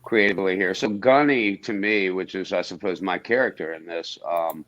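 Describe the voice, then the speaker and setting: A man guesting on a podcast, talking in a halting way and searching for his words. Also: sits low, fairly bright tone, no room sound, muffled recording. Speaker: guest musician and voice actor. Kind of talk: casual podcast chat.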